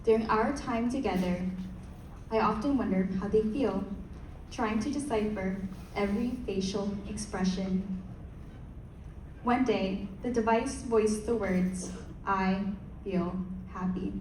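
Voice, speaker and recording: A young woman, a pageant contestant, giving a rehearsed speech in a measured way. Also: medium pitch, a little mellow, a little hiss or hum. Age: young adult. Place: large hall. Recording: echoey hall from far off.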